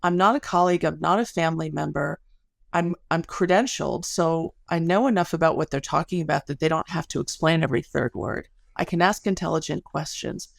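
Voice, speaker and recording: Low, creaky-voiced woman telling research stories. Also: a little fast, dry recording. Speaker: interview guest, academic researcher. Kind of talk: conversational interview, storytelling.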